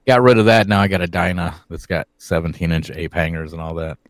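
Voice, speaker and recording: Very deep-voiced easygoing man. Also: fairly even intonation, fairly quick, no room sound, studio quality. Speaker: podcast guest. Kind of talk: casual chat.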